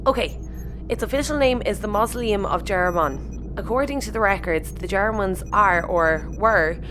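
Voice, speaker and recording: A woman's voice: medium pitch, normal speed, noisy background, close to an everyday voice. A woman with an Irish accent playing an anxious, principled spaceship pilot in a sci-fi audio comedy. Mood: focused. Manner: expressive, fretful.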